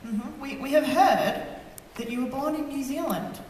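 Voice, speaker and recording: A woman's voice: sits high, moderate pace, darkish tone, a little hiss or hum. A woman co-hosting a convention panel, asking questions in a hesitant, stammering way in a large hall. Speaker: panel co-host. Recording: echoey hall, from far off.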